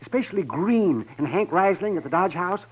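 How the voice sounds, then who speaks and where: Raspy, high-voiced old frontier doctor. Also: male voice, fairly quick. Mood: fretful, gossipy. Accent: American. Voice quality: raspy, husky. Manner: folksy, fretful, animated. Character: chatty, excitable old frontier town doctor. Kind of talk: 1950s radio western acting. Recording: muffled 1950s radio recording.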